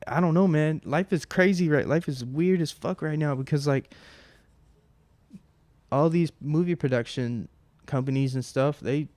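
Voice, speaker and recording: A man's voice: medium pitch, a little mellow, no room sound. A man in his twenties hosting a casual solo podcast from home, rambling and profane, full of fillers and restarts. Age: 20s. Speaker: podcast host. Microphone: close mic at home.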